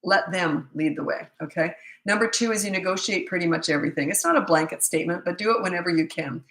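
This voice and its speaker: A woman in her sixties speaks with a fairly low voice, worn thin by a cold that is making her voice give out. She answers parenting questions in a live stream, blunt and matter-of-fact, often asking rhetorical questions. The sound carries a roomy echo. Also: fast talker, fairly bright tone. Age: 60s. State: has a cold, voice giving out. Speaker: parenting coach.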